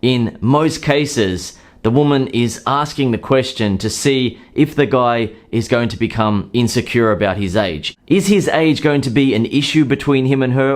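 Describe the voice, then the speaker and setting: Man, dating coach, scripted advice talk, calm explanatory delivery, mid-range pitch.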